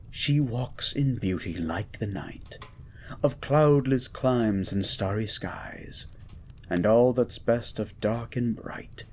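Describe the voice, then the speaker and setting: A man's voice: on the low side, a little mellow, husky, full character voice. A man voicing a courtly, bookish mutant scientist beast in a romantic audio drama, speaking tenderly with eloquent, theatrical phrasing.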